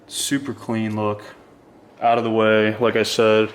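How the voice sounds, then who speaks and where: A man's voice: fairly low pitch, completely flat delivery, unhurried, a little hiss or hum. A man hosting a casual how-to vlog, explaining his work in an easygoing, chatty way while working in a garage. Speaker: host of a car build channel. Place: garage, inside a vehicle.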